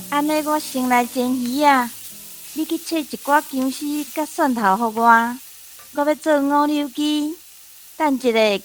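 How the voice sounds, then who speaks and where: High-pitched, homely Taiwanese mother-in-law. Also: low-quality recording. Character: busy, plain-spoken country mother-in-law running a kitchen. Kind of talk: radio drama acting.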